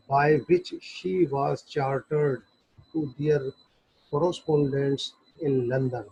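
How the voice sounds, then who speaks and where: Husky man lecturing on law, Pakistani accent. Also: on the high side, warm tone. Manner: deliberate, pausing phrase by phrase when reading. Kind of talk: explanatory lecture, reading out statute text and explaining it. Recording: dull, lo-fi audio.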